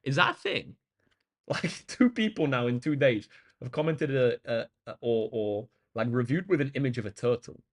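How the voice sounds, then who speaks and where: A man chatting casually and informally to viewers on a livestream as a tutor, his voice slightly croaky and tired, speaking to them directly and thanking them. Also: medium pitch, expressive, normal speed. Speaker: maths tutor live streamer.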